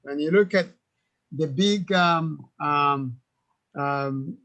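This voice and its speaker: Earnest man, higher pitch. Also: pushed and bright, lo-fi sound. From Uganda. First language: Kinyarwanda. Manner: earnest, halting with repeated words. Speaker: guest. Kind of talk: webinar interview answers. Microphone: video call.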